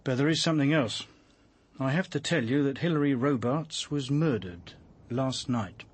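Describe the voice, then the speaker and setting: Man acting husky, probing police inspector. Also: mid-range voice, normal speed, lightly acted. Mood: firm probing. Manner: hesitant, formal questioning. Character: polite, probing, persistent police chief inspector. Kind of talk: radio drama acting.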